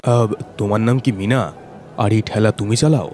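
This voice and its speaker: A man's voice: lowish voice, normal speed, darkish tone, slight background noise, natural voice. A man voicing a stern, bullying food inspector in a moral-story cartoon, officious and commanding.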